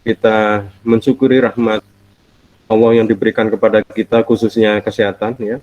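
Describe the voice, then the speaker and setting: A man's voice: lowish voice, little pitch movement, a little mellow, no echo. A middle-aged man gives a friendly, chatty online university lecture from home, his voice nasal and stuffy from a cold. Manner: friendly, chatty, laughs often, drawn-out fillers. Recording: dull lo-fi online call audio.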